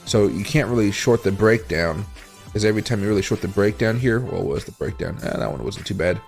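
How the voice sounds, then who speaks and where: Tired, raspy male crypto streamer. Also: fairly low pitch, fairly quick, darkish tone, soft background music. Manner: rambling, thinks aloud while scrolling charts and reading chat. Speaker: crypto livestream host. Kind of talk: casual livestream chart analysis.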